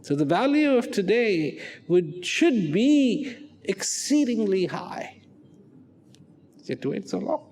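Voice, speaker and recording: Slow, animated man in a reverberant hall. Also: sits high. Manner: slow, emphatic, swings from loud to hushed. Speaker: speaker. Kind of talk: reflective public talk. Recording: long hall reverb with faint music.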